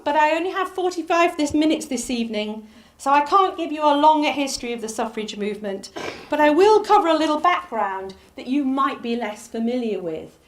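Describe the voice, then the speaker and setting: A woman with a Northern English accent giving a public history lecture, animated, rising on key names and dropping low for asides. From Northern England. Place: lecture hall.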